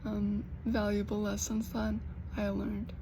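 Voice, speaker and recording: A young American woman who stutters, with repeated sounds and blocks, talking slowly and earnestly in a personal vlog. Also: medium pitch, monotone, noisy background. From United States. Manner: slow, earnest, gently encouraging. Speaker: vlogger.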